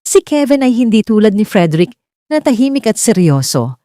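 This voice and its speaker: A woman's voice: a little high, sing-song, normal speed, mellow, dry recording, clean studio sound. A woman reading a scripted romance story as its narrator.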